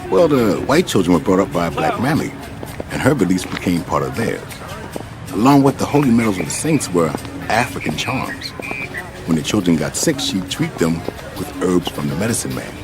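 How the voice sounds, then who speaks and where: A man's voice: deep voice, big pitch swings, normal speed, music in the background. A man acting in a radio play as an earnest local guide in Brazil, explaining things like a storyteller.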